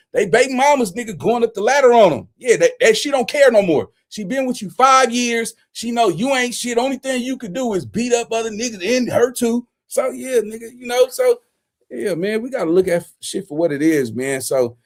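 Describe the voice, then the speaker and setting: Male streamer, high register, loose slang-heavy rambling, animated street-talk commentary.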